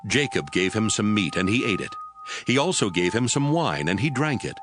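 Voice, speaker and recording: Low-voiced male scripture narrator. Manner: measured, even storytelling. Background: background music.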